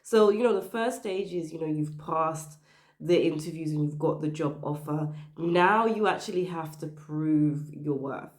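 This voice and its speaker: A woman giving career advice to the camera, her voice croaky while she recovers from the flu, talking earnestly and stressing her points. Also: low voice, a little slow, darkish tone, roomy sound. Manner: earnest, emphatic, stressing points with "okay?" and "you know". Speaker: career advice channel host. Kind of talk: direct-to-camera advice talk.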